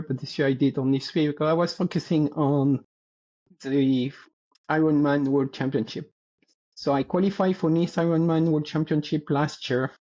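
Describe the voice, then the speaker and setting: A French-accented man of about sixty with a slightly high yet dark, mellow voice. He chats as an interview guest, hesitating and hunting for words. The recording sounds a little dull and lo-fi. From France. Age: around 60. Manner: halting, searching for words, laughing lightly. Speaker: guest athlete. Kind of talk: casual interview talk.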